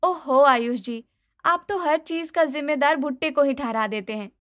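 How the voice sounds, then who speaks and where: A woman's voice: sits high, normal speed, fairly bright tone, voice pushed up. A woman voicing a stubborn young daughter-in-law with whiny, dismissive protests in a cartoon moral story. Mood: breezy, defensive. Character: stubborn, breezy young daughter-in-law who loves corn.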